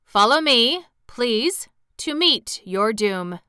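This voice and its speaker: A woman's voice: fairly high pitch, animated, slow pace, sharp tone, smooth, dry recording, clean studio sound, pitched above the performer's own voice, heavily acted. Woman doing a cold haughty plant-folk prince. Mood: cold, imperious. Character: cold imperious vegetable prince, male.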